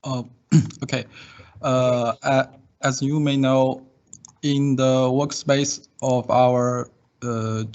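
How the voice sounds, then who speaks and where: A man demonstrating software in an online class, speaking hesitantly and haltingly with long pauses over a video call. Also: medium pitch, fairly even intonation. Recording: dull, slightly noisy call audio with typing. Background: keyboard typing and clicks.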